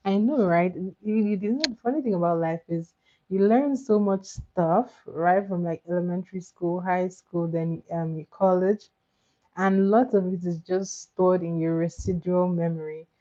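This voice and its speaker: A woman with Igbo as her chats easily with her livestream viewers in a lowish voice, giggling and doubling back on her words. The sound is dull and lo-fi, like a home stream with a basic mic. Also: fairly even intonation, measured pace, mellow. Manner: chatty, giggly, repeats words. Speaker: livestream host. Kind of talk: casual chat with viewers.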